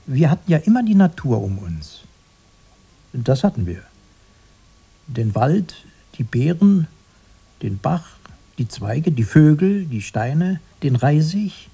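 An actor playing a protective, simple-minded and haunted grown-up brother in a radio drama, halting and repetitive, soothing one moment and evasive the next. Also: male voice, mid-range voice, creaky, dark tone, slightly rough, heavily acted. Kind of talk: 1980s German radio drama acting.

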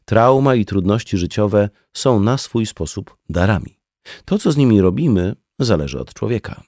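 A man's voice: on the low side, very animated, moderate pace, mellow, dry recording, studio quality. A man reading a scripted audiobook dialogue as a narrator. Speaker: audiobook reader.

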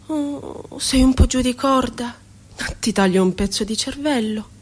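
An actress voicing a cruel, glib psychiatrist with a mocking, falsely soothing theatrical manner in a radio drama. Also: female voice, fairly high pitch, measured pace, husky. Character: cruel, glib psychiatrist offering lobotomies and shock.

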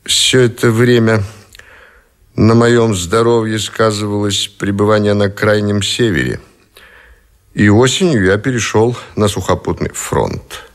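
An actor playing a captive middle-aged admiral who gives formal, measured, dignified testimony in a radio play. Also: male voice, on the low side, close to an everyday voice. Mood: formal, composed.

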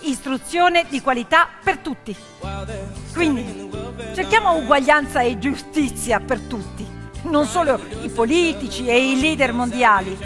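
A woman performing a theatrical monologue as a brave, impassioned teenage activist girl, speaking in deliberate, emphatic phrases. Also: high-pitched, lively intonation, pitched above the performer's own voice. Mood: impassioned rallying. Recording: long hall reverb, piano music behind.

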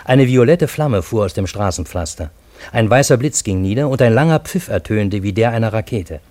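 Man acting a low-voiced, dry-sounding Parisian storyteller. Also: animated, darkish tone, no room sound, lightly acted. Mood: awed, suspenseful. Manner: measured, literary storytelling. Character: male Parisian narrator, astonished friend of time traveller. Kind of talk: literary first-person radio drama narration.

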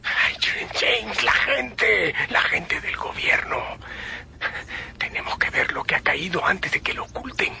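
An actor playing an anxious, obsessive astronomer in a radio drama, insistent and emphatic, speaking in clipped, repeated phrases. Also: male voice, sits high, animated, slow, vocal fry, bright, forceful tone, whispered, exaggerated voice. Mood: urgent hushed. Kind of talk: Spanish-language radio drama acting.

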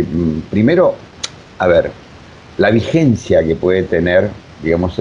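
An older man with an Argentine Spanish accent answers interview questions conversationally, in a halting, digressive way, often repeating himself. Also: mellow. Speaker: interviewed theater director and voice teacher. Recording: dull sound with faint rumbling noise.

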